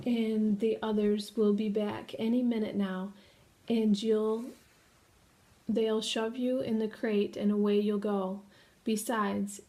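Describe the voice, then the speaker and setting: A woman voicing a calm, wise female spider who is dying, speaking quietly and low. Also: close to an everyday voice.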